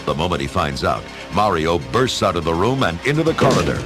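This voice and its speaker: Low, animated male story narrator. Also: brisk, over music. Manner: expressive storytelling. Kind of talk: dramatic scripted story narration.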